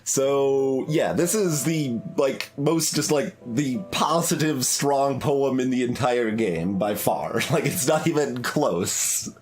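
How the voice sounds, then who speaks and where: A man's voice: mid-range voice, slow, hardly any pauses, a little mellow. A man doing casual game commentary as a streamer, thinking aloud in a hesitant, analytical way. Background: game music.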